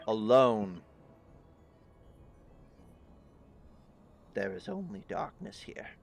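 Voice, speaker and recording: Man voicing a low, weary, desperate king. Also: big pitch swings, gravelly, soft background music, full character voice. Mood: grave, desperate. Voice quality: low, hushed, tight-jawed. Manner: grave, formal, measured oratory. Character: weary, desperate, proud king speaking low through clenched teeth.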